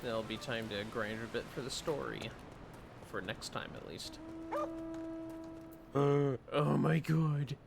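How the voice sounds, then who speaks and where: Slow, creaky-voiced man streaming a game. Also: medium pitch, fairly even intonation, music in the background. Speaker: livestreamer playing a video game. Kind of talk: casual gameplay chat mixed with reading dialogue aloud.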